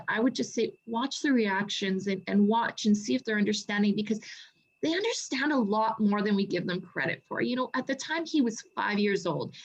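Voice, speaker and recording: A woman's voice: a little high, rough recording. A woman guest talking casually about her experience, earnest and full of restarts as she tells her story. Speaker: co-author guest. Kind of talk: casual video call chat.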